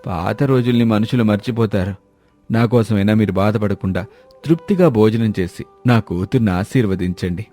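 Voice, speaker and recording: A man's voice: fairly low pitch, moderate pace, dark tone, faint music behind, close to an everyday voice. A man voicing a considerate bride's father who speaks courteously and soothingly to his relatives. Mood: conciliatory. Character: considerate, conciliatory brother-in-law, father of the bride.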